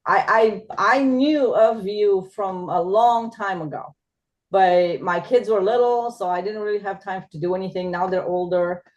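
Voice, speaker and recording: A woman's voice: mid-range voice, unhurried, rough recording. A middle-aged woman from Romania with a Romanian accent, introducing herself to a club in a chatty way with laughing asides.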